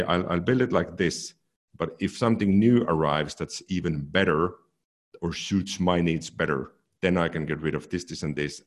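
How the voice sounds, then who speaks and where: A man with a very low, deep voice, co-hosting a podcast. He talks in a relaxed, thoughtful way and often doubles up words as he searches for them. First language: Finnish. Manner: thoughtful, repeats words as he goes. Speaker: podcast co-host. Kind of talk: casual technical chat.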